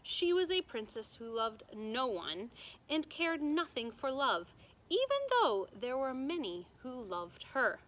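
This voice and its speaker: A woman voicing a stern grandmother who tells a dark fairy tale with dramatic emphasis. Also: high voice, very animated, slow pace, exaggerated voice, low-quality recording. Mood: solemn.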